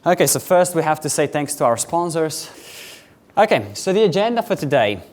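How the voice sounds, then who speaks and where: Young guy, slovenian accent, kinda high voice, rushing through a conference talk. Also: very animated, a little mellow. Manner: quick, chatty presenter with filler words, addressing a live audience. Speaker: conference speaker.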